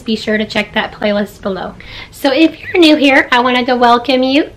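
A woman in her thirties with a hoarse voice, chatting casually to camera about her kids' stocking gifts in a log-home bedroom. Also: on the high side, fairly bright tone, low-quality recording. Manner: chatty, upbeat, showing items. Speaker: vlogger.

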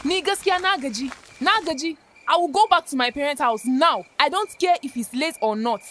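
A fed-up young wife. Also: female voice, sits high, lively intonation, brisk, fairly bright tone, slight background noise. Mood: exasperated. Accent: Northern Nigerian. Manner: accusing, weary. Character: exasperated young wife fed up with her husband. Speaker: radio drama actor.

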